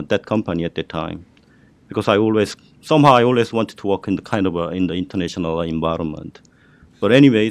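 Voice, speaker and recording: Hesitant middle-aged Korean-accented man. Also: fairly low pitch. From South Korea. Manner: hesitant, many fillers and restarts. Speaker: panelist. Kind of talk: panel discussion storytelling.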